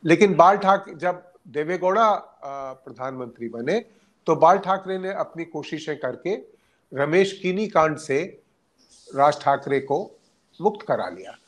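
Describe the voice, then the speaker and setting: A man giving unscripted political analysis as a journalist, speaking haltingly with hesitant pauses mid-sentence. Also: on the high side, lively intonation.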